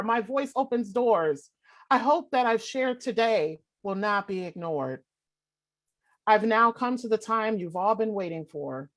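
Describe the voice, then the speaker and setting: A young adult woman performing spoken word poetry with forceful, rhythmic declamation. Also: a little high. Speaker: poet.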